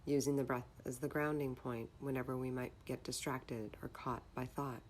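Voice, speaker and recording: Very low-pitched woman guiding meditation. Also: slight background noise. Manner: calm, measured, instructional. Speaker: meditation guide. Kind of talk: guided meditation narration.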